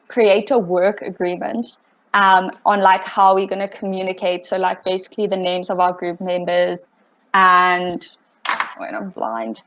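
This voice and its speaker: A young woman with a slight British accent, explaining a group assignment in a rambling way with lots of "like" and "um" on a video call. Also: medium pitch. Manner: rambling, many fillers like "like" and "um". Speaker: student in project group. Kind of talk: casual video call meeting. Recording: muffled video call audio.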